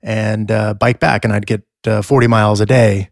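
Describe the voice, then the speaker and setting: A man's voice: on the low side, level tone, normal speed, creaky, no room sound, clean studio sound. A middle-aged man with a scratchy voice, co-hosting a podcast in casual chat and rambling through fillers and restarts. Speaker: podcast co-host.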